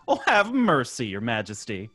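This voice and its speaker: A man's voice: medium pitch, wildly swooping pitch, normal speed, a bit bright, breathy edge, dry recording, faint music behind, full character voice. An actor playing a wry, teasing male pal in an old time radio drama.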